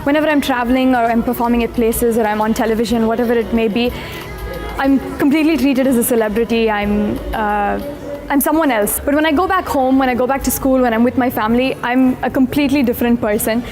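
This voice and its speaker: Brief: teen girl singer, husky but higher-pitched, earnest interview, music bed behind. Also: quick pace. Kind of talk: documentary interview.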